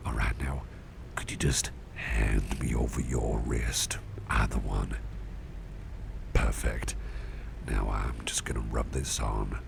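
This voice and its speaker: A man playing a caring, protective boss in an ASMR roleplay, speaking in a gentle, breathy near-whisper with reassuring, hesitant pauses. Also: fairly even intonation, exaggerated voice. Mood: calm, casual, then soothing. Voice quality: breathy near-whisper with heavy low creak. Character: caring, protective male boss tending to his employee. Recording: close recording with clear background noise.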